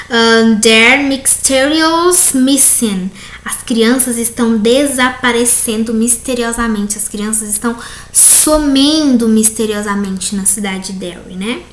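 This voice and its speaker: Slow-talking Brazilian woman teaching English. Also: sits high, fairly bright tone. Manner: reads English questions slowly, explains in Portuguese with frequent "né?". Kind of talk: recorded class correcting exercises. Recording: echoey room, faint background noise.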